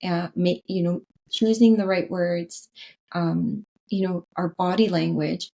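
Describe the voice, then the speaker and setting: Guest expert, Canadian woman, lowish gravelly voice, earnest and explanatory. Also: fairly even intonation, a little slow, mellow. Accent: Canadian English. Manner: earnest, frequent "right?" tags and restarts. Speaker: podcast guest, leadership consultant. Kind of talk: interview conversation.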